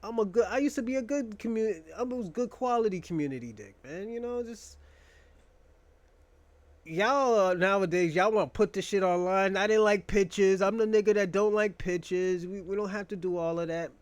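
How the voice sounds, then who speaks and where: A man's voice: high-pitched, moderate pace, no echo. A young man hosting a casual monologue, rambling and joking in a crude, profane way. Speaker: podcast host.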